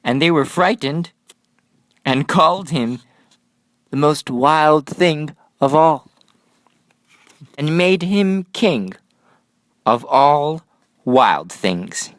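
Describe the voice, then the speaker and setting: A man reading a children's picture book aloud as an expressive storyteller, with deliberate, dramatic phrasing and pauses. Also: a little high, no room sound.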